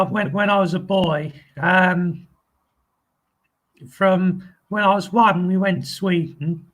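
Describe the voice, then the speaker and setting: A man's voice: sits high, a bit bright. A man hosting his own channel, chatting casually and hesitantly with lots of ums and restarts, repeating friendly phrases as he rambles. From England. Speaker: channel host. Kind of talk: casual live video chat.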